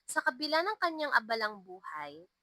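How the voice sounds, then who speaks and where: Woman narrator, very bright high voice, animated pitch, expressive scripted romance storytelling, dry sound. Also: moderate pace, no echo.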